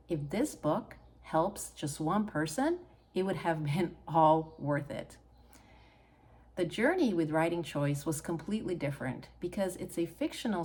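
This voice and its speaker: A woman's voice: deep voice, darkish tone, breathy edge. A middle-aged woman from Hungary with a Hungarian accent, talking earnestly and encouragingly to camera as a channel host. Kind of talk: personal talk to camera.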